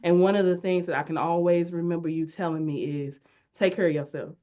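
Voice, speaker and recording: A woman's voice: lowish voice, fairly even intonation, fairly quick, dark tone, lo-fi sound. A woman hosting a casual interview on a video call, rambling and self-correcting with plenty of fillers. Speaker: podcast host and business owner.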